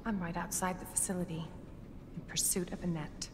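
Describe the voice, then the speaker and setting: A woman's voice: lowish voice, little pitch movement, fairly quick, close to an everyday voice. An actress playing a cool, businesslike female agent reporting in during a dramatic video game cutscene. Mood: cool, focused. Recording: reverberant space, loud ambient noise.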